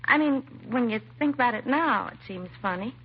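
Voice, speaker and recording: Timid high-voiced American secretary, vintage radio. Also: female voice, expressive, brisk, breathy edge. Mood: earnest, hesitant. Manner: hesitant, nervous, apologetic, trailing and restarting. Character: timid, self-doubting young secretary reporting her boss missing. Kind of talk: 1950s radio drama acting. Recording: muffled vintage radio recording, faint hiss.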